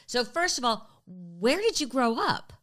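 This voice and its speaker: A woman's voice: fairly high pitch, big pitch swings, a little slow, a bit bright. A woman hosting a podcast, introducing and interviewing a guest in a conversational style. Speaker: podcast host.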